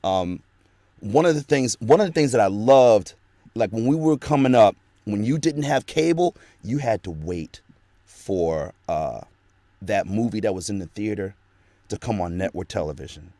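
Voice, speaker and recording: Middle-aged guy, lowish voice, rambling nostalgic interview chat, dry close sound. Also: animated, normal speed, dark tone, dry recording. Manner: rambling nostalgic storytelling, many restarts. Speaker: guest voice actor.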